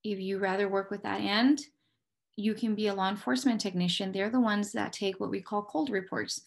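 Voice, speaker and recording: A woman's voice: mid-range voice, fairly even intonation, brisk, creaky. An American woman with a mid-range voice speaks as an interview guest. She explains her working life in a candid, conversational way, often setting up a question and answering it herself. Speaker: police dispatcher, interview guest.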